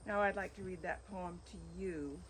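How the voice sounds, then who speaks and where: A woman speaking at an outdoor memorial, reading a remembrance and a poem in a measured, gentle way. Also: fairly low pitch, slight background noise, rough recording. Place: outdoors at a home on an island.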